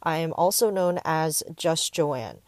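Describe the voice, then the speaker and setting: Young woman, 19, from the Bay Area, casual podcast host, rambling and distracted, slightly low voice, earbud mic in a car, dry sound. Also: animated, unhurried, no room sound. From San Francisco Bay Area. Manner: rambling, easily distracted, frequent fillers. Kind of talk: casual solo podcast chat. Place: inside a parked car. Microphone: wired earbuds mic into a tablet.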